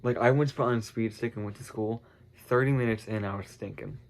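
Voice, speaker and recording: A man's voice: fairly low pitch, fairly quick. A congested teenage boy chatting casually to camera, rambling with lots of fillers and self-corrections. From United States. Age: teens. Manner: meandering, heavy use of "like" and "um", frequent self-corrections. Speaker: vlogger.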